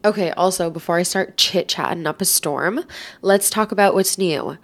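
A woman's voice: on the low side, normal speed. Stuffy-nosed chatty woman in her twenties. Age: 20s. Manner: chatty, rambling, says "like" a lot. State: stuffy nose from a cold. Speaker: podcast host. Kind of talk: casual solo podcast chat.

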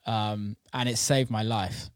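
A man's voice: fairly low pitch, steady tone, slow, smooth, no room sound, studio quality. A man hosting a casual podcast chat, talking in a matey, informal way with plenty of swearing. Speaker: interviewer and host.

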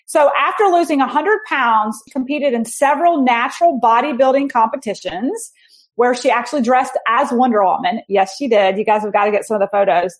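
A woman's voice: on the high side, fairly quick. A woman hosting a podcast, introducing her guest in a chatty, enthusiastic way. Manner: chatty, enthusiastic, restarts phrases. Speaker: podcast host.

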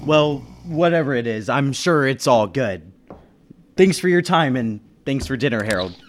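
A man's voice: medium pitch, animated, normal speed, slight background noise, lightly acted. A man acting an inquisitive, uneasy traveling businessman who narrates a 1930s-style radio mystery. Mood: casual. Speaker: lead actor and narrator.

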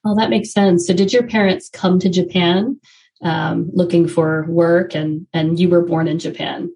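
A woman's voice: fairly low pitch, fairly even intonation, a little slow, dark tone, husky. A woman hosting a podcast, asking long, encouraging questions of her guest. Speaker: podcast host. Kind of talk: interview questions.

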